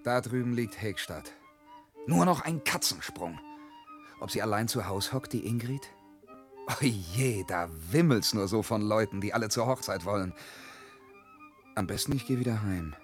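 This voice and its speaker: An actor playing a dreamy, boastful, restless peasant lad in a radio play, with rough voice and declamatory delivery that swings from brooding murmur to exuberant cries. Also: male voice, lowish voice, animated, moderate pace, long pauses, soft background music, lightly acted. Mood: wistful, hesitant. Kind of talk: theatrical radio drama acting in verse.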